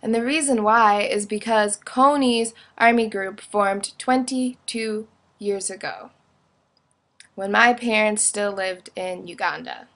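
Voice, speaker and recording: A woman's voice: mid-range voice, slow pace, a bit bright. A young woman giving a casual, opinionated video monologue in a blunt, informal way, peppering her sentences with "like".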